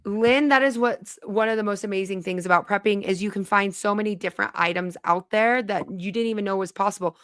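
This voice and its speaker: A woman's voice: medium pitch, a little fast, few pauses, smooth, no echo, clean studio sound. A woman hosting a casual live stream from her home, chatting and reading viewer comments aloud. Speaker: livestream host.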